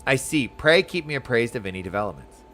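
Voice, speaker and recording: A man's voice: medium pitch, very animated, quick pace, a bit bright, a little hiss or hum, pitched below the performer's own voice. A man reading aloud the lines of a formal, sardonic fantasy game leader in stately, archaic phrasing. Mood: stiffly polite.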